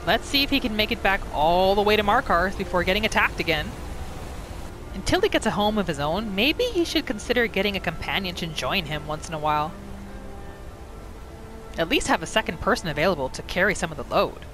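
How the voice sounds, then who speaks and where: A woman's voice: medium pitch, expressive, brisk, over music. A woman hosting a casual game roleplay playthrough, narrating her character's thoughts in the third person with little asides. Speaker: gaming channel host.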